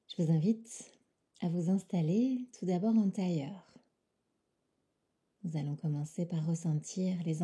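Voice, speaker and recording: A woman leading a guided meditation in a soft, calm, soothing voice that often drops to a near whisper, with unhurried phrasing. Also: fairly low pitch, husky. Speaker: yoga nidra guide.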